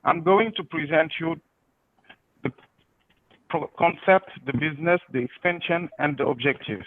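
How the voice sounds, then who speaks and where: Over a thin, phone-like and dry call line, a Senegalese-accented man delivers a prepared startup pitch. His voice sits a little high as he presents as a founder. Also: no room sound. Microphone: video call.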